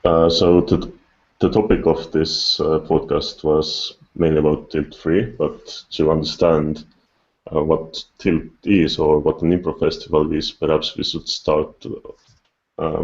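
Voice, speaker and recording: A man hosting a casual podcast interview over an internet call, speaking haltingly. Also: extremely low pitch, a little mellow, dull audio. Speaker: podcast host. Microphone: online video call.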